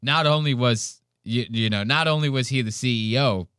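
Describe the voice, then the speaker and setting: A man hosts a live reaction stream in a loose, slangy way. His mid-pitched voice sounds dry and close, and he mocks and swears freely as he comments. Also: normal speed, fairly bright tone, clean tone, no echo. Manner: profane, slangy, mocking.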